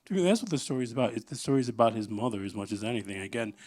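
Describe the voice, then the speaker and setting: A man's voice: mid-range voice, expressive, very fast, darkish tone. A man hosting a conversational on-stage interview as the panel moderator.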